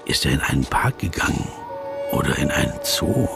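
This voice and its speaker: An actor playing a polite, self-conscious writer in a German radio play, probing hesitantly and adding dry, ironic asides. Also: male voice, rumbling low, normal speed, gravelly, over music, exaggerated voice. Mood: wry, musing. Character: wry, polite, self-conscious male writer interviewing a celebrity. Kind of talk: German radio play dialogue and inner narration.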